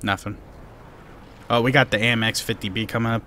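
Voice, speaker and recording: A man's voice: lowish voice, steady tone, fairly quick, pushed and bright, slight background noise. A young man, Korean by birth, hosting a live gameplay Q&A and answering viewer questions in a rambling way, with lots of restarts. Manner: rambling, many "you know"s and restarts. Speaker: gaming channel host.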